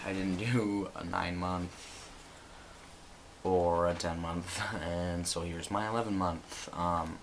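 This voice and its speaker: Low-voiced young man, rambling vlog. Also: slight background noise. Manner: relaxed, rambling, many ums and likes. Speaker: vlogger. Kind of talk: casual vlog update. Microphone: built-in computer camera mic.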